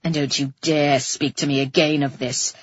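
Woman voicing Calyx, a low, snarling, bitter man. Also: measured pace, slightly rough, no room sound, dull audio, exaggerated voice. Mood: threatening. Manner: forceful, curt, menacing. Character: Calyx, fierce embittered male ruler, snarling and menacing.

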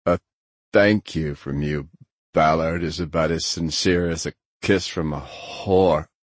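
A man voicing a gruff, sardonic, middle-aged male hacker in an audiobook, with a rumbling, blunt, needling delivery. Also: fairly even intonation, measured pace, no echo. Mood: grudging.

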